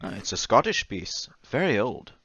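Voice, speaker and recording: Man playing a timid stammering eccentric, low, swooping voice. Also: big pitch swings, moderate pace, sharp tone, husky, no echo, highs cut off, heavily acted. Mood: odd, excitable. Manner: hesitant, stammering, nervous chuckles. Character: timid, eccentric, stammering war-veteran lodger, unsettlingly cheerful. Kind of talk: old-time radio drama acting.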